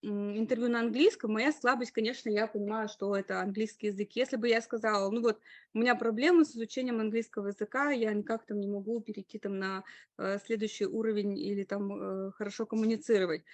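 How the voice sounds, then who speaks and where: A woman's voice: medium pitch, steady tone, fast talker, no echo. Dry-voiced woman explaining with hesitations. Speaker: webinar speaker giving career advice. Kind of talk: online webinar talk.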